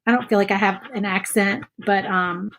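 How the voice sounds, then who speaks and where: A woman's voice: medium pitch, steady tone, moderate pace, fairly bright tone. Chatty Southern woman crafting on camera. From Virginia, USA. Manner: chatty, friendly, thinks aloud while working. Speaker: craft vlogger. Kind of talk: casual chat while painting, reading live comments. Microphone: phone or camera close by.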